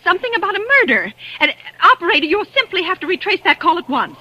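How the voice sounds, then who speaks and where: An actress playing a nervous, imperious invalid wife in a stammering, fretful 1940s radio thriller style. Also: female voice, very high-pitched, very animated, fast talker, sharp tone, exaggerated voice, low-quality recording. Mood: agitated, pleading. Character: nervous, demanding invalid wife alone at home. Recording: old 1940s radio recording.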